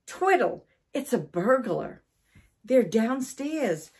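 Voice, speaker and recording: A woman voicing an excitable, fretful wife from a children's storybook, exclaiming in a dramatic, breathless way. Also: on the high side, slow, slightly rough, pitched above the performer's own voice, full character voice. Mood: frightened, urgent.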